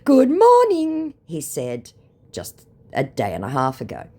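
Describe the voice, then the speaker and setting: A woman's voice: rumbling low, animated, unhurried. A woman, telling a story with dry, sarcastic asides. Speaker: scam baiter telling a story. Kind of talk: storytelling, reading out a chat.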